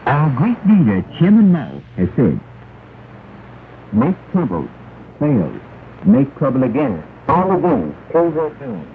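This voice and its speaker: A man's voice: medium pitch, huge pitch swings, slow pace. A man announcing on a 1960s shortwave propaganda broadcast, reading solemnly and with declamatory emphasis. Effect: shortwave radio. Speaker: radio announcer. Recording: narrow, noisy 1960s shortwave radio recording.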